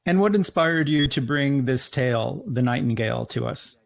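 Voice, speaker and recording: A man's voice: mid-range voice, expressive, normal speed, gravelly. Male podcast host, stumbling questions. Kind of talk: casual chat.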